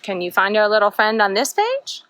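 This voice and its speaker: A woman's voice: medium pitch, sing-song, moderate pace, fairly bright tone, slight background noise. A woman hosting a storytime for young children, putting simple questions to her young viewers. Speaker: children's librarian storytime host.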